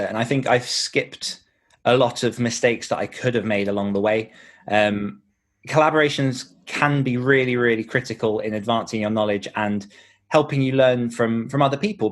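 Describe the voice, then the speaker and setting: A man giving earnest, explanatory answers in a conversational podcast interview, thinking aloud as he goes. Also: mid-range voice. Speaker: podcast host and author being interviewed.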